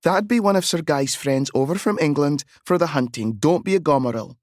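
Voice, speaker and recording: A man voicing a brisk, teasing young aunt, raising his pitch for her. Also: lively intonation, darkish tone, no room sound, studio quality, close to an everyday voice. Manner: brisk, scolding.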